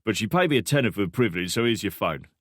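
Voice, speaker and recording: A gruff middle-aged Cockney stranger, as a male narrator puts him on, cheeky and offhand, rolling out slang in a thick drawl. The voice sits low and quick, recorded dry and close with no room around it. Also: expressive, no room sound.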